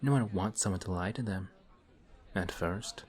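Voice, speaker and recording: A man's voice: low-pitched, moderate pace, close to an everyday voice. A man playing a suave, polite villain. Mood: courteous. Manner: courteous, formal, sly and quietly taunting. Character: suave, polite male villain in civilian clothes. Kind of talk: one-sided audio roleplay. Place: coffee shop scene with faint café chatter.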